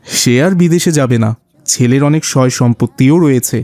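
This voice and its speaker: A man voicing a gentle, reasonable middle-aged father in an Islamic cartoon, explaining things patiently and affectionately. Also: mid-range voice, moderate pace, no room sound, lightly acted.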